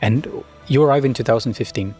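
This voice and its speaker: Male interviewer, mid pitch, thoughtful chat tone, light music bed. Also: a little fast, dark tone, husky. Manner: reflective, conversational questioning. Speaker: podcast host.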